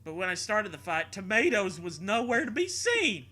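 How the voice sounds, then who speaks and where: A man's voice: high voice, big pitch swings, moderate pace, bright, forceful tone. A man reading a story aloud as a host, in a comedic dramatic style with casual, irreverent, sweary asides. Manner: irreverent, sweary, often breaks into laughter.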